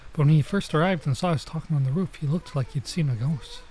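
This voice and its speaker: A man's voice: on the high side, fast talker, a little mellow, pitched above the performer's own voice, close to an everyday voice. A man voicing a cheerful, proud robot girl from a visual novel, with a chirpy, boastful read.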